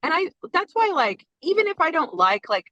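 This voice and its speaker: A woman from Texas, a band member, chatting casually in an interview with an animated, laughing manner. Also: very high voice, normal speed, no room sound. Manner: animated, laughs between phrases, chatty fillers. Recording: slightly dull lo-fi sound.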